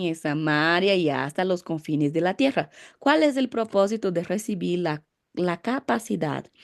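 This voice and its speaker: Female pastor, lower register, lecturing style; emphatic, asks and answers own questions. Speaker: pastor and Bible teacher. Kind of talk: Bible teaching lecture.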